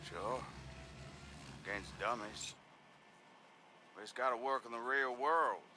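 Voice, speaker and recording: An actor playing a gruff, skeptical military commander in a video game, terse and dry. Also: male voice, medium pitch, slow pace, background noise, close to an everyday voice. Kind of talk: video game cutscene acting.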